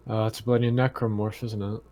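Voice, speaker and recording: A man playing a tabletop game, chatting casually in tentative, halting phrases. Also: on the low side, level tone, crowd noise.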